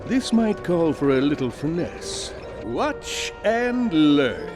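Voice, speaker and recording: An actor voicing a pompous, vain and cheerfully overconfident space hero in a video game, hammy and self-admiring. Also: male voice, fairly high pitch, big pitch swings, slow pace, over music. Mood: smug. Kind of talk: video game cutscene acting.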